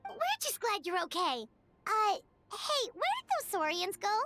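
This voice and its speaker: A woman voicing a tiny, cheerful, excitable fairy-like girl companion in a video game, with an eager childlike manner. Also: very high-pitched, a little slow, over loud music, exaggerated voice. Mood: chirpy, friendly.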